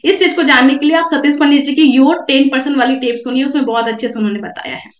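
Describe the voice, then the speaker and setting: A woman's voice: high-pitched, steady tone, fast, reverberant. Young woman coaching with a sore throat. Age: young adult. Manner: coaching, direct, repeats points. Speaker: network marketing speaker. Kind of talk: motivational seminar talk with slides.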